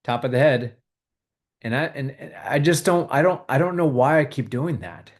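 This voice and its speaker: A man hosting a video, calmly guiding viewers through a tapping exercise with repeated phrases. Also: mid-range voice, normal speed. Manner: calm, repetitive, coaching tone. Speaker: host leading a tapping exercise. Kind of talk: guided tapping exercise and money talk.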